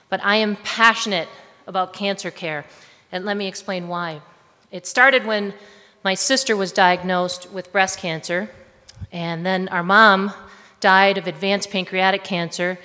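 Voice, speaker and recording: Booming woman speaker, earnest and personal, mid-pitched, long hall reverb. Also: fairly bright tone. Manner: earnest, personal, thanking hosts. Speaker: health writer and co-presenter. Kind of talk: conference talk introduction. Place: conference hall. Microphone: handheld or stand microphone.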